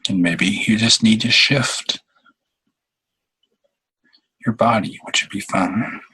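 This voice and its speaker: Slow, husky man guiding a meditation. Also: lowish voice, bright, forceful tone, poor audio. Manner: halting, unhurried, long pauses. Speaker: meditation guide. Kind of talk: guided meditation.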